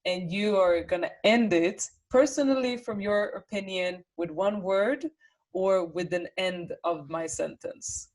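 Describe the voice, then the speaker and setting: A woman's voice: fairly low pitch, measured pace, darkish tone. A woman in her twenties hosting an interview, asking questions in a friendly, giggly way. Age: 20s.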